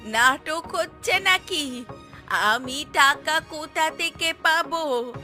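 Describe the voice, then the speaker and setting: Woman as angry cartoon granny: piercing, high, slow deliberate scolding, music behind. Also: full character voice. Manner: shrill, berating, sharp-tongued. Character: scolding, hot-tempered old mother of a villager. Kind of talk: cartoon story dialogue.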